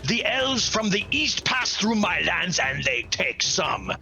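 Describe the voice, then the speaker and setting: A man voicing a huge, suspicious warlord chieftain who snarls and growls out slow, deliberate threats in a tabletop game. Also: high-pitched, big pitch swings, gravelly, bright, forceful tone, faint music behind, pitched above the performer's own voice, heavily acted. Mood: menacing.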